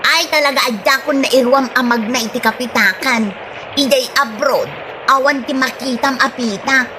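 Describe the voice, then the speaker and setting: High-pitched, fussy, haughty returnee woman, played by an actress. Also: normal speed, noisy background, lightly acted. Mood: haughty, annoyed. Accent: Ilocano. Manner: whiny, disdainful, exclaiming. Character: haughty, fussy woman back home from working abroad. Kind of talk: Ilocano comedy radio drama acting.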